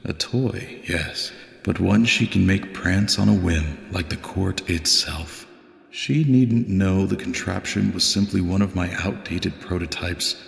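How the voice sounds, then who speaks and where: A man playing a wistful, brooding inventor and scholar, reading a journal in a measured, archaic, poetic theatrical monologue. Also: deep voice, gravelly, exaggerated voice. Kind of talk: theatrical dramatic monologue, fandub narration. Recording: echoey, reverberant voice-over.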